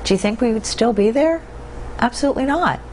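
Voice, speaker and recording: A woman's voice: a little high, expressive, a little fast, a little mellow, slight background noise, low-quality recording. A woman giving personal, emotional answers as an interviewee. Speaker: bereaved interviewee.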